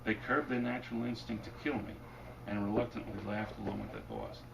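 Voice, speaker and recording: A man reading his fiction aloud at a bookstore event, deadpan and wry, and losing his voice. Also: on the low side, fairly quick, gravelly, dull audio. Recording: distant mic, noisy room. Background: audience laughter.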